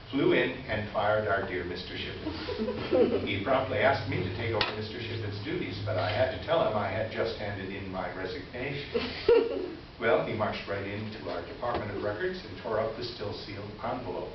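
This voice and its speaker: A man's voice: lowish voice, muffled recording, natural voice. A man playing a courtly, gleeful older store employee in a staged letter reading, with a genteel, theatrical delivery. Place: live stage presentation in a roomy venue. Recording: very echoey, distant, noisy live stage recording.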